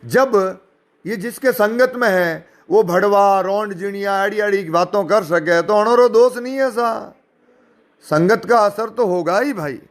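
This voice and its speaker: Male, notably high voice; informal rebuttal delivered with mockery, indignation and pointed rhetorical questions. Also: unhurried. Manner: sarcastic, mocking. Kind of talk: informal spoken rebuttal, addressing listeners directly.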